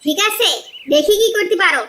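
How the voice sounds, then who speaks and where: A woman's voice: very high-pitched, a little fast, a bit bright, soft background music. A woman playing a poor, envious wife in a cartoon, complaining with a sarcastic edge. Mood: scolding. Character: discontented, envious, scolding poor fisherman's wife. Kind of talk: cartoon dialogue.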